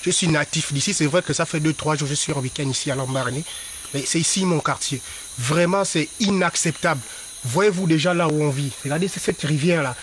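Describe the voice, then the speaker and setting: A local man speaks outdoors by a river, indignant and insistent. His voice sits high. A faint hiss runs under the recording. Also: fairly quick. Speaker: local resident. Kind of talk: on-street interview.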